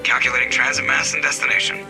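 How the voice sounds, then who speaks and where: An actor playing a bridge officer in a video game, calling out a relay jump in crisp procedural lines. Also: male voice, mid-range voice, level tone, fast, music in the background, natural voice, poor audio. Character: matter-of-fact male bridge officer.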